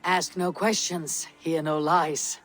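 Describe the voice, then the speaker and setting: An actress playing a stoic, proud Norse warrior woman in a video game, speaking in a terse, firm, measured way. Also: deep voice, flat delivery, a bit bright, soft background music. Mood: calm, resolute.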